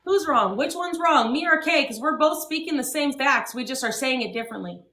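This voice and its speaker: A woman's voice: high-pitched, animated, a little fast, a bit bright, roomy sound. A young woman hosting a livestream, ranting and swearing with heavy emphasis. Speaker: livestream host. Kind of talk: heated livestream talk.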